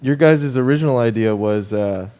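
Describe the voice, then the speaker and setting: A man's voice: medium pitch, moderate pace, warm tone, smooth. A man hosting a radio show in casual, unscripted chat, with informal and hesitant phrasing. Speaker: host. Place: radio studio.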